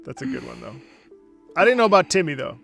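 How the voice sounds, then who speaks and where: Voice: medium pitch, big pitch swings, quick pace, vocal fry, a bit bright. A game streamer chatting casually on a livestream, blunt and full of swearing. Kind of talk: casual livestream gameplay chat. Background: video game music.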